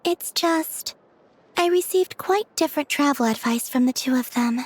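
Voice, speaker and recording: An actress voicing a gentle, dreamy, soft-spoken young woman. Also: sits high, moderate pace, no room sound, lightly acted.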